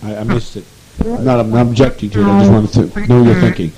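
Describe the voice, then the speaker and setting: A man's voice: mid-range voice, sing-song, fast talker, loud music behind the voice, poor audio. A man leading a meeting, speaking intensely and repetitively in a preaching manner on an old archival tape. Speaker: group leader addressing a meeting. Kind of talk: commanding speech to an assembly.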